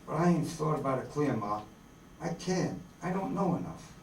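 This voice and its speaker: A man's voice: a little high, expressive, normal speed, gravelly. A man playing an earnest, idealistic young farm-born outlaw in folksy rural dialect, in a staged radio drama reading. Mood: troubled resolve. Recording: roomy hall, distant mic, faint noise.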